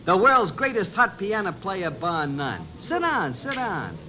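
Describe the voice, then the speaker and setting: Brash, high-voiced braggart pianist. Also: male voice, huge pitch swings, measured pace, bright, forceful tone, slight background noise, exaggerated voice, rough recording. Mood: boastful, eager. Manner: brash, patter, name-dropping. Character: boastful, fast-talking cafe piano player. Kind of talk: old-time radio drama acting. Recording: vintage radio recording with music.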